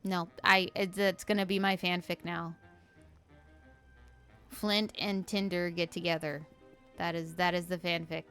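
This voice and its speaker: A woman streamer with a low, slightly raspy voice, chatting in a dry, monotone, joking way while she plays a game. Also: a little slow, pauses often, soft background music. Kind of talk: casual let's-play commentary.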